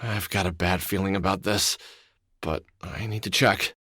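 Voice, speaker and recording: A man's voice: deep voice, steady tone, normal speed, pushed and bright, slightly rough, dry recording, clean studio sound, full character voice. A man voicing a wry, protective middle-aged adventure game hero who often mutters expressively to himself. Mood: wry, curious. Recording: background music under the voice.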